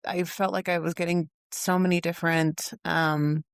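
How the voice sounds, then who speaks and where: A woman's voice: lowish voice, little pitch movement, moderate pace, gravelly, clean tone, dry recording, clean studio sound. A woman co-hosting a podcast, talking earnestly and thoughtfully, often with a laugh. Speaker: podcast co-host. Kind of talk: casual reflective chat.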